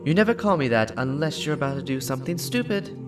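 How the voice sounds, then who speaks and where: A man's voice: a little high, sing-song, fast, music in the background, voice pushed up. A man voicing a blunt, urgent female robotic companion in clipped phrases, in a dramatic story reading. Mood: cautioning.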